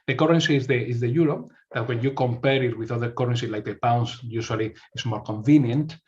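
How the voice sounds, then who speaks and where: A man's voice: medium pitch, fairly quick, a little mellow. Male university rep, Spanish accent, presentation delivery, restarts words, muffled recording. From Spain. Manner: repeats words while searching for phrasing. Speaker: university recruitment representative. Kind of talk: informational presentation.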